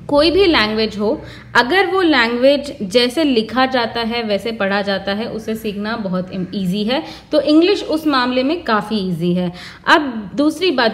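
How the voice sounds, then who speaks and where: A woman with a high voice gives career advice to her viewers. She talks in an earnest, instructive way and lays out her points one after another. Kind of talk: explanatory advice talk.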